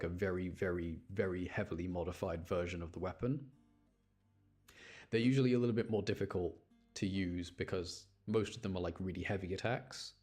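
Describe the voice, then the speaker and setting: A man's voice: sits low, a little mellow. A man giving casual live gameplay commentary as a streamer, chatty and explanatory. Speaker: game streamer.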